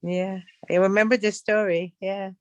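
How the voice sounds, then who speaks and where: A woman's voice: mid-range voice, steady tone, moderate pace, smooth, dry recording, muffled recording. Israeli woman in her fifties, dry close sound. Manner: warm listener, searching for words. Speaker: class participant. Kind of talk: casual chat on a video call.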